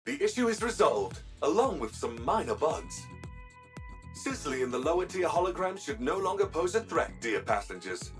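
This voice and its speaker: A man playing a polite, formal ship's machine intelligence in a video game, speaking in measured, courteous phrases. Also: fairly high pitch, sing-song, far from the mic, soft background music. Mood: courteous, composed. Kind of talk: video game cutscene acting.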